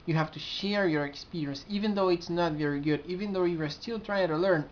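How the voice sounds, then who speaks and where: A man's voice: high voice, slight background noise. Earnest young Brazilian man, lo-fi audio. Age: 20s. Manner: earnest, halting, with frequent restarts. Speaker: community administrator. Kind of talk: casual vlog to camera. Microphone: built-in camera mic. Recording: dull lo-fi camera audio.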